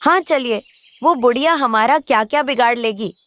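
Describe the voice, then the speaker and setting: A woman as a highish-voiced selfish crow wife. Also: moderate pace, a bit bright, no room sound, natural voice. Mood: complaining, selfish.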